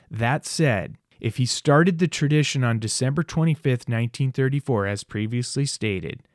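Male podcast host, mid-pitched, scripted narration with playful theatrical flair, dry recording. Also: no echo. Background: music behind the voice.

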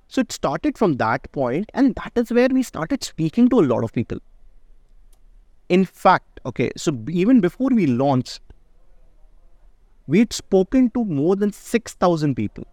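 A man's voice: high-pitched, sing-song, a little slow, long pauses, darkish tone, no room sound, studio quality. A man, a startup founder, answering interview questions in a casual, emphatic way and often asking "right?". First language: not Indonesian. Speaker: startup founder and CEO, guest.

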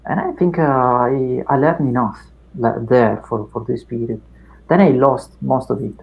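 A middle-aged man with an Italian accent, Italian his first language, talking through his past in an unhurried interview with many fillers and restarts. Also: mid-range voice, steady tone, vocal fry, highs cut off. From Italy. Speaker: academic guest. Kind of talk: reflective interview chat.

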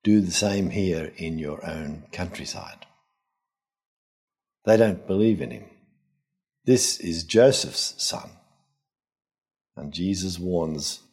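Older guy preaching a homily, really slow and careful, raspy low voice. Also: darkish tone. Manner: measured, deliberate phrasing with pauses. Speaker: priest giving Gospel reflection. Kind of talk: homily, expository reflection.

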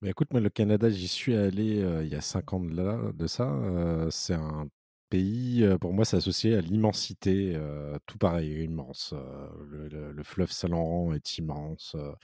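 A man speaking as a panelist in casual conversation, with a halting, hesitant delivery. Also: low-pitched, mellow, no echo, studio quality. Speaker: show panelist. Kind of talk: casual reflective chat.

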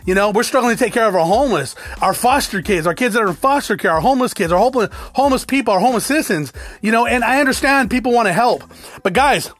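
A man's voice: extremely high pitch, expressive, fast, a bit bright. A man from the United States talking casually to his viewers in a rambling, profane rant that restarts and repeats itself. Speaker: vlogger.

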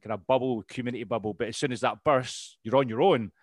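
A man's voice: mid-range voice, fast, no room sound, clean studio sound. A man in his thirties with a Scottish accent, a veteran telling his life story as a guest in a casual interview, rambling with ums and restarts. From Scotland. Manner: rambling, lots of ums and restarts, laughs easily. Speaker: podcast guest, RAF veteran.

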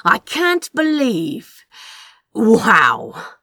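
Audiobook sorcerer, male a woman performing: informal, teasing, incredulous, very expressive pitch. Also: creaky, dry recording, clean studio sound, heavily acted. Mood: amused, amazed. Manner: informal, halting, exclamatory.

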